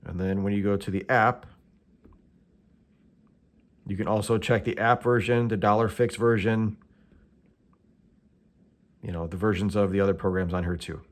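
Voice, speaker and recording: A man's voice: on the low side, fairly even intonation, moderate pace, long pauses. Plain-spoken American man, casual how-to. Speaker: host of tool review and how-to videos. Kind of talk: casual instructional product walkthrough.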